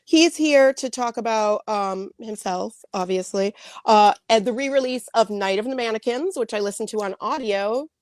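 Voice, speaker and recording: A woman co-hosting a live podcast, bubbly and rambling, openly excited as a fan of her guest. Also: on the high side, moderate pace, no room sound, studio quality. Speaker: podcast co-host. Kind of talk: enthusiastic live podcast interview.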